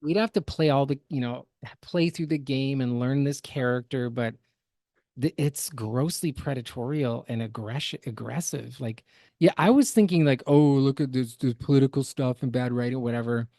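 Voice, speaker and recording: A man's voice: mid-range voice, normal speed, warm tone, dry recording, studio quality. A man hosting a podcast with casual, critical and opinionated reaction commentary. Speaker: podcast host.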